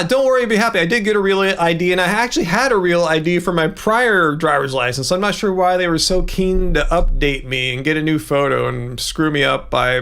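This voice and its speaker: A man hosting a casual livestream, rambling chattily from one topic to the next as he reads out viewer messages. His voice sits somewhat high for a man and carries a steady gravelly fry. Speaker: livestream host.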